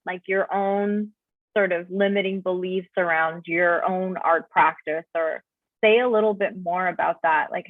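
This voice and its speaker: A woman's voice: fairly low pitch, no room sound, narrow, tinny audio. A woman hosting an interview series, enthusiastic and effusive, on video call audio. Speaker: interview host.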